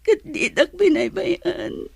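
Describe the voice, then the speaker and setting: A woman's voice: very high voice, lively intonation, takes it slowly, gravelly, no echo, heavily acted. A woman playing a hurt, betrayed young mother in an audio drama, plaintive and reproachful. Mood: tearful, hurt. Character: hurt, betrayed young mother who just gave birth, Megan. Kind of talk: Ilocano audio drama acting.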